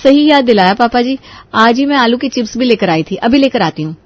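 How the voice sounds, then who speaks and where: A woman's voice: a little high, animated, a little fast, dry recording, dull audio. A woman voicing a dutiful, shrewd young daughter-in-law in a family story. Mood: eager, obliging.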